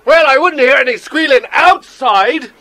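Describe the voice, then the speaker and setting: An actor playing an angry, suspicious old caretaker with a gruff, snappish manner in a children's audio drama. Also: male voice, extremely high pitch, moderate pace, bright, forceful tone, dry recording. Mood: grudging, wary.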